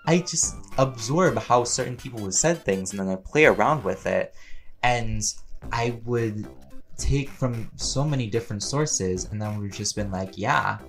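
A man's voice: fairly low pitch, a little slow, faint music behind. A man chatting casually and playfully as a voice actor answering listener questions in a rambling Q&A. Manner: playful, chatty, laughs often, animated emphasis.

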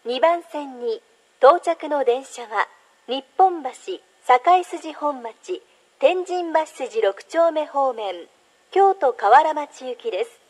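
A woman's voice: high voice, expressive, takes it slowly. A woman making formal, carefully enunciated recorded train platform announcements as a station announcer.

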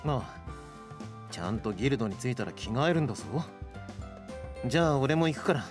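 An actor playing a cool, caring young knight and older brother in an anime game radio drama, dry and exasperated. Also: male voice, mid-range voice, expressive, brisk, over music, lightly acted. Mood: calm caring. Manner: matter-of-fact, dry.

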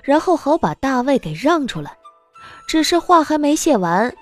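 A woman's voice: high voice, very animated, moderate pace, no echo. A woman narrator reading a story in a dramatic audiobook style. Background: soft background music.

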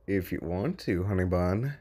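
A performer voicing a brash, teasing male imp in an amateur comic dub, with cocky, drawn-out taunts. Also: sits low, animated, mellow. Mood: flirty.

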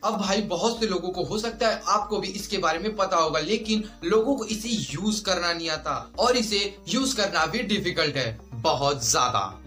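Young guy doing a youtube tutorial, high sharp voice, explains stuff step by step, bit of music under it. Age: young adult. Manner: instructional, addressing viewers directly. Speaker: YouTube host giving tutorials. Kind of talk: scripted tutorial narration. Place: small indoor room, plain wall. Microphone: lapel mic.

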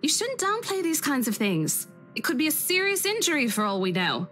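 A woman's voice: high voice, wildly swooping pitch, moderate pace, a bit bright, faint music behind, exaggerated voice. An actress playing a protective young woman who speaks in a firm, concerned way in a visual novel game. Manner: firm, concerned, takes charge. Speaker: game character.

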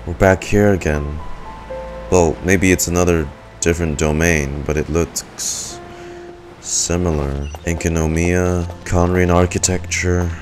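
A man's voice: sits low, fairly even intonation, unhurried. A young man giving live, informal and exclamatory gameplay reaction commentary as a streamer at home. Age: young adult. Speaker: game streamer. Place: indoor room at home. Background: game music.

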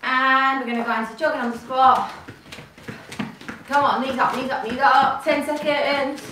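A young woman leads a workout in a bright, slightly high voice, chatty and upbeat as she moves. She is heard from a distance in a small, very echoey room with faint noise behind her. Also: steady tone, moderate pace. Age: young adult. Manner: upbeat, chatty, cueing moves while exercising. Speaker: fitness instructor. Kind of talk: live workout instruction. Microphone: far camera.

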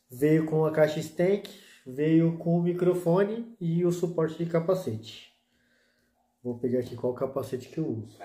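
Casual male vlogger in his 30s, relaxed slang-heavy delivery, warm dark voice in an echoey room. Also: mid-range voice. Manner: laid-back, chatty, full of slang fillers. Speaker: motovlogger. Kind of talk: casual slangy show-and-tell vlog.

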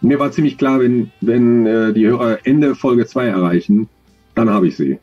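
A man's voice: mid-range voice, normal speed, muffled recording, soft background music. A man in his forties, an audio drama author, answering interview questions on a video call in a thoughtful, rambling, self-deprecating way.

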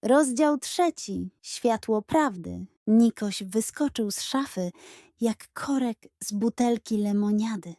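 A woman narrates a children's bedtime tale in a fairly high voice. She takes her time, very slowly building drama and suspense with expressive reading. The recording is dry, with no room sound. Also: no room sound. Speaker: storyteller.

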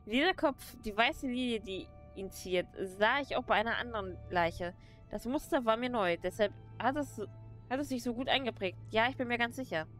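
A woman reading a solemn game character's quest dialogue aloud in a measured tone. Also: on the high side, animated, a bit bright, faint music behind. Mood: earnest.

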